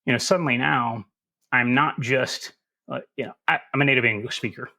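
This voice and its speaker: A man's voice: medium pitch, brisk. Folksy Southern man talking shop. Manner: animated, self-deprecating, folksy asides. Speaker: security instructor as guest. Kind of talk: conversational interview answers.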